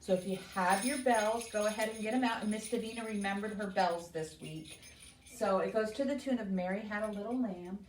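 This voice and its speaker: A woman's voice: medium pitch, a little fast. A woman working as a children's librarian, reading and explaining to small children with simple questions at story time. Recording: echoey room, distant mic, faint noise.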